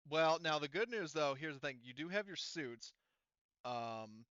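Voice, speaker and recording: A man's voice: fairly high pitch, expressive, a little slow, fairly bright tone, clean tone, no echo, lo-fi sound. A man running a tabletop roleplaying game as game master, explaining things casually.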